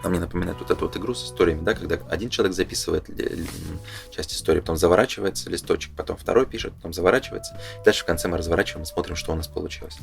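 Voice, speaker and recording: Very deep, creaky-voiced man thinking aloud. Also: racing, soft background music. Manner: hesitant, thinking aloud as he reads. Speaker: presenter reading through a game booklet. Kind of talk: casual unscripted explanatory commentary.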